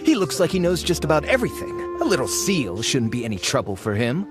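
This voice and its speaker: A man's voice: on the high side, wildly swooping pitch, quick pace. An actor playing a greedy, sarcastic man hungry for treasure in game dialogue. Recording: game audio with music underneath.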